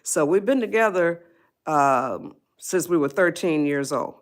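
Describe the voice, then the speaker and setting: A woman of eighty-one, chatting slowly to viewers on her live stream, often losing her place and laughing. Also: deep voice, lively intonation. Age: 80s.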